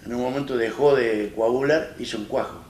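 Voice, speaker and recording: An Argentine man in his fifties speaks plainly and haltingly, restarting his phrases as he tells his story. His voice sits at a middling pitch and is heard from a distance in an echoey studio, with a faint hiss underneath. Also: darkish tone. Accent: Argentine Spanish. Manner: plain-spoken, halting and retelling with many restarts. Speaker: interview guest. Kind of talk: personal story in a studio interview. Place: small studio set, seated in armchair. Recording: roomy echo, distant mic, faint hiss.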